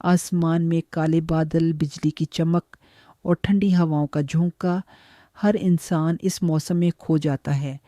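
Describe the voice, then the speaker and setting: A man's voice: on the high side, steady tone, slow, mellow, dry recording. A man reading a scripted, moody horror introduction as narrator.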